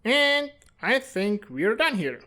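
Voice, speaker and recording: Woman as a lively, sarcastic, cynical commander. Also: a little high, slow pace, heavily acted. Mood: wary, defiant. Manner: sarcastic, blunt, skeptical. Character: brash, cynical, self-serving mercenary-like commander. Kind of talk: dramatic comic fandub acting.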